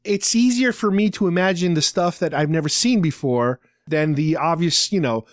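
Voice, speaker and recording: A man's voice: fairly high pitch, expressive, moderate pace, no echo, studio quality. A middle-aged man hosting a podcast, talking casually and explaining things like an enthusiastic fan. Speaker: podcast host.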